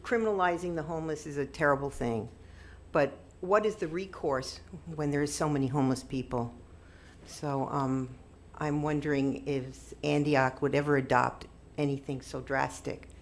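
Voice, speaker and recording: Deep, gravelly woman at a podium. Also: slight background noise. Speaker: public commenter. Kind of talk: public comment.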